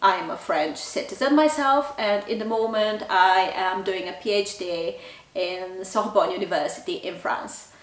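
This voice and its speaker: Female vlog host, thirties, mid-pitched, casual delivery, roomy distant-mic sound. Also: moderate pace. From Bulgaria. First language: Bulgarian. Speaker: language channel host. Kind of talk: casual talk to camera.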